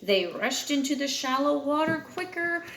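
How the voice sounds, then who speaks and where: A woman's voice: high-pitched, expressive, moderate pace, a bit bright, roomy sound, poor audio. A woman reading a picture book aloud expressively, as a storyteller to a child, with dramatic emphasis on key words.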